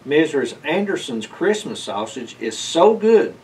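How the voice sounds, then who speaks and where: A man's voice: a little high, sing-song, a little slow, darkish tone. A man reading the part of a kind, cheerful neighbour woman in a storybook, with a friendly delivery. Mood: joking. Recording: distant mic, slight background noise.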